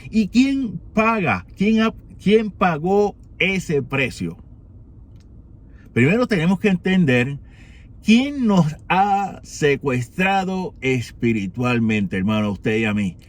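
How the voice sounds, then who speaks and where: A man from Rico, giving an unhurried reflection for morning prayer, with many pauses and rhetorical questions. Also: a little high, big pitch swings. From Puerto Rico.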